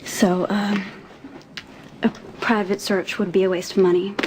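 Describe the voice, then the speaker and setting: An actress playing an emotional, grieving young heiress in a soap opera, earnest and halting. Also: female voice, mid-range voice, level tone, noisy background, natural voice. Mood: sad, resigned. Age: young adult. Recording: loud music behind dialogue. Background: music.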